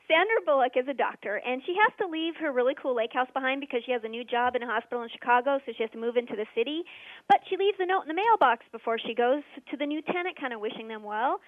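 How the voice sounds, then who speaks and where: A woman recaps a movie plot over a tinny phone line in a chatty, easygoing way. Her voice is high and sounds dry and close. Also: lively intonation, fast talker, no room sound. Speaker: movie reviewer calling in. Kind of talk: casual plot summary.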